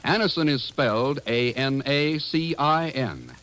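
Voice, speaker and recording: A man's voice: medium pitch, measured pace, fairly bright tone. A man reading scripted copy as a polished, emphatic announcer in 1950s radio style.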